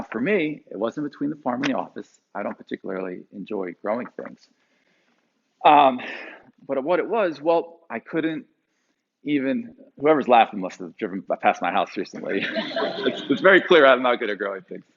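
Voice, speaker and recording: A man in his forties from Pennsylvania, telling a personal story to a live audience with animated delivery and frequent fillers. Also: mid-range voice, moderate pace, lo-fi sound, a little hiss or hum. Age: 40s.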